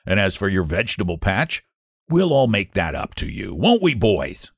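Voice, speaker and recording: A man's voice: deep voice, huge pitch swings, moderate pace, vocal fry, husky, no echo, clean studio sound, pitched below the performer's own voice, heavily acted. A man voicing a jolly pumpkin leader in a children's story, with a coaxing, winning manner. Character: jolly, persuasive pumpkin leader of runaway vegetables.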